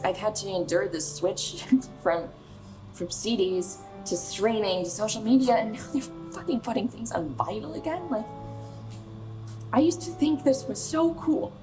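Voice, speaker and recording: An American woman playing a bitter, wounded pop star of twenty-seven in a naturalistic radio play, speaking in a halting, emotional, sharp-tongued way. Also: medium pitch, lively intonation, soft background music, lightly acted, poor audio. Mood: exasperated. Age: young adult.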